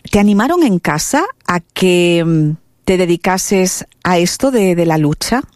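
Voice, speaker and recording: A woman's voice: on the low side, animated, a little slow, darkish tone, no room sound, clean studio sound. A woman interviewer asking chatty, leading questions.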